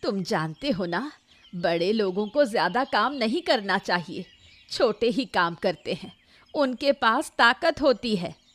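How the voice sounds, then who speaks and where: Woman acting a slow-talking, grumbling old mother. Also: mid-range voice, expressive, a bit bright. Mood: dismissive, excusing. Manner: scolding, complaining, excuse-making. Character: lazy, grumbling elderly mother of a grown son. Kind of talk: Hindi cartoon moral-story dubbing.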